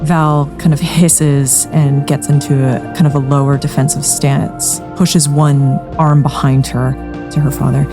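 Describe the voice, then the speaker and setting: A woman's voice: deep voice, level tone, measured pace, music in the background. A woman player describing her character's actions in a tabletop fantasy game.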